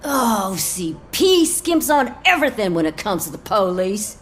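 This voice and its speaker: An actress playing a sarcastic policewoman in a video game, griping in an animated, sardonic way. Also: mid-range voice, moderate pace, a little hiss or hum, lightly acted. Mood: exasperated. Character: sarcastic, grumbling female beat cop. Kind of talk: scripted game dialogue.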